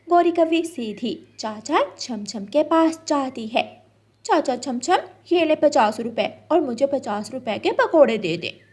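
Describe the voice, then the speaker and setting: A woman's voice: on the high side, a bit bright, slightly rough. A woman reading a scripted children's story as narrator.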